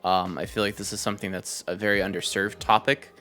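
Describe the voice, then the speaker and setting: A podcast host asking questions in a relaxed, encouraging way, filling pauses with "um". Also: deep voice, soft background music. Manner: relaxed, affirming, lots of fillers. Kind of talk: casual interview.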